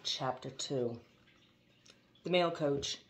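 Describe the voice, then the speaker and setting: Low-voiced woman reading a story. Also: slow pace, noisy background. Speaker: audiobook reader. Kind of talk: expressive storybook narration.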